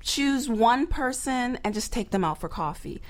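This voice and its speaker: A woman's voice: a little high, animated, measured pace, crowd noise. A woman guest giving business advice conversationally, often through rhetorical questions. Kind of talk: casual advisory chat.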